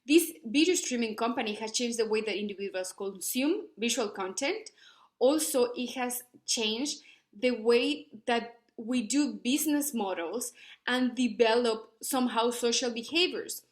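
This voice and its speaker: A woman's voice: fairly high pitch, measured pace. A young woman from Ecuador, giving an earnest academic presentation with many fillers and restarts. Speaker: student researcher presenting.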